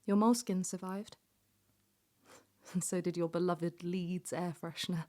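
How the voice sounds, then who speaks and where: Soft, low, even-voiced tender woman played by an actress. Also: measured pace, close to an everyday voice. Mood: tender, hopeful. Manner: soft, breathy, often near whisper. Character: devoted, tender woman reading her letter to injured loved one. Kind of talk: intimate scripted letter monologue.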